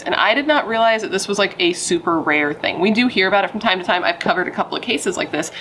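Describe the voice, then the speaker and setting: A woman's voice: on the low side, fairly bright tone, a little hiss or hum, rough recording. A young adult woman, a pregnant true crime YouTuber, chatting playfully with dramatic asides and casual swearing.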